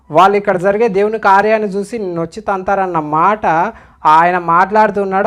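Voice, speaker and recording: A man's voice: sits high, fairly bright tone. Admonishing man giving commentary. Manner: admonishing, questioning, repeatedly urging listeners to reflect. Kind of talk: direct-to-viewer commentary.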